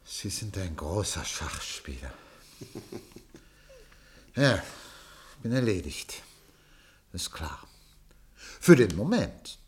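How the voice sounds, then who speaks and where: An actor playing a scheming, excitable newspaper director in a radio play, with a theatrical manner. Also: male voice, sits low, drawn-out words, pauses often, heavily acted. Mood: resigned. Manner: theatrical, swinging from bluster to resigned muttering.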